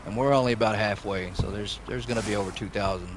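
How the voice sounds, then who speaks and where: A man hosting a gaming channel, chatting casually over gameplay in a rambling, jokey way full of fillers, his voice going slightly hoarse. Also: on the low side, fast. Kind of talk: casual let's-play commentary. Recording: noisy background under the voice.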